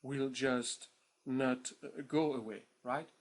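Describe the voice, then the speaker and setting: A man with a French accent, speaking at a medium pitch, talks students through the rules of his online course. He speaks in an informal, playful way, with lots of "uh", doubled words, and a "right?" after almost every point. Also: steady tone, takes it slowly. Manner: chatty, informal, many fillers and repeated words; keeps asking "right?" for agreement. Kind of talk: recorded course introduction to students.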